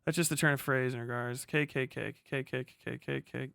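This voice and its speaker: A man's voice: medium pitch, steady tone, normal speed, vocal fry, fairly bright tone, clean tone, dry recording, studio quality. An American man hosting a livestream, talking casually and reacting to chat in a profane, slangy, rambling way. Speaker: livestream host. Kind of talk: casual livestream commentary, reading and answering chat.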